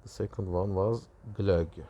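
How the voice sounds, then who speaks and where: A man with a Turkish accent and Turkish as his first language gives a personal testimony, recounting visions in halting, self-correcting phrases. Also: deep voice, fairly even intonation, mellow. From Turkey. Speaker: religious video creator.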